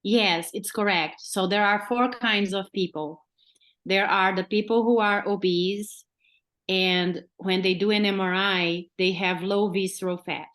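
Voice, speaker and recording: A woman's voice: medium pitch, fairly even intonation, unhurried, a bit bright. A woman doctor from Brazil with a Brazilian Portuguese accent, a guest on a podcast who explains step by step and keeps checking in with "right?". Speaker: guest physician.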